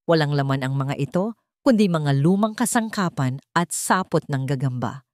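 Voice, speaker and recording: A Filipino woman reads a scripted story with drama. Her voice sits a little low but moves widely and expressively in pitch. The recording is dry, with hardly any room sound. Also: expressive, normal speed, a little mellow, no room sound. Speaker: story narrator.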